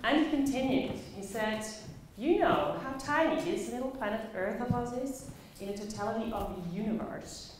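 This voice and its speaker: A woman with a Dutch accent gives a storytelling talk in a highly reverberant room. Her voice sits on the high side, and she speaks expressively with dramatic pauses. There is slight noise behind her. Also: expressive. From Netherlands. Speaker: conference speaker, play facilitator. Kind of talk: personal storytelling talk to a live audience. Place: echoey indoor conference room. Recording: very echoey room, faint background noise.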